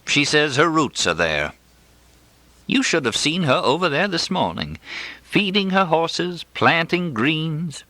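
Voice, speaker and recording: A big, folksy older doctor in an audiobook, played by a male reader. He talks in a familiar, teasing drawl, pitched up and swinging widely, with a bright edge. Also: sing-song, slow, no room sound, exaggerated voice. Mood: serious, scheming. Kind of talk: audiobook character dialogue.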